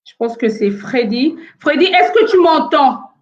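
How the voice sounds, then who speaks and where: A woman from France with Congolese roots hosts a casual live stream from her home. Her voice sits a little high, and she talks haltingly, with many fillers, as she works through sound problems with her callers. The audio is dull and lo-fi. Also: animated. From France, of Congolese origin.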